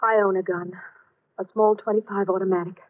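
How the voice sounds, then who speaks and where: A woman's voice: mid-range voice, level tone, a little fast, lightly acted. Woman acting an anxious melodramatic radio leading lady. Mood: defensive, distressed. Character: anxious, melodramatic glamorous actress in distress. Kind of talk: 1950s radio drama acting. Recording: muffled lo-fi old radio broadcast.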